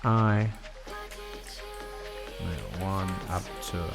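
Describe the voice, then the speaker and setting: A man streaming himself coding, thinking aloud in a slow, halting way and reading code out as he types. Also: low voice, fairly even intonation, loud music behind the voice. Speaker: programmer streaming live coding.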